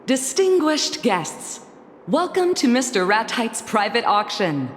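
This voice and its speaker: A woman voicing a poised, formal female auctioneer in a video game cutscene, announcing in a measured way. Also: a little high, sing-song, a little hiss or hum. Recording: studio voice with long reverb and music.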